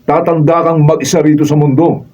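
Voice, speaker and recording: A Filipino man acting a level-headed, reproachful man with a husky voice in a radio drama. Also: fairly high pitch, little pitch movement, brisk, a little mellow, muffled recording, close to an everyday voice.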